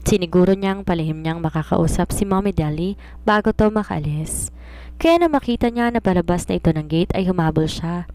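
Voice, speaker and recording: Low, mellow Filipino woman storyteller. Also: expressive, a little fast, dry recording. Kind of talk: scripted narration of a romance story.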